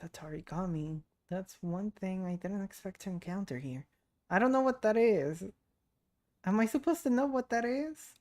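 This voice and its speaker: A woman's voice: medium pitch, animated, normal speed, darkish tone. A young woman chatting casually and loosely as she streams a video game. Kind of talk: casual livestream chat while playing.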